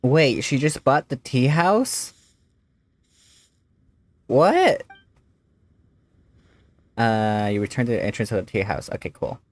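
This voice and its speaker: Low-voiced woman casually commenting on a game. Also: lively intonation, slow pace, long pauses, a little mellow. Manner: informal, slangy reactions. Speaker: gaming video host. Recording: game music behind the voice.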